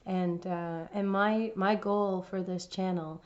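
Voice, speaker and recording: A woman hosting a cross-stitch vlog, her voice raspy from laryngitis, chatting casually with many fillers and restarts. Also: medium pitch, fairly even intonation, takes it slowly, highs cut off. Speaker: cross-stitch channel host.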